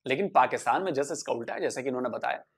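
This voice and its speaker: A man talking earnestly to the camera, measured and persuasive, building his points through repetition. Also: medium pitch, animated, breathy edge.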